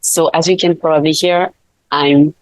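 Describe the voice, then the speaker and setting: Low-voiced woman, laughing easily. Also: steady tone, normal speed, low-quality recording. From Switzerland. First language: not English. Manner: laughs often, many fillers and restarts. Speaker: guest, life coach. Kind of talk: casual interview chat.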